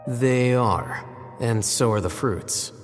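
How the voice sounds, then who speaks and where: A man playing an aloof, scholarly young man in an anime video game, measured, formal and deadpan. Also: medium pitch, natural voice. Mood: dry, unruffled. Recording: long reverb, music behind.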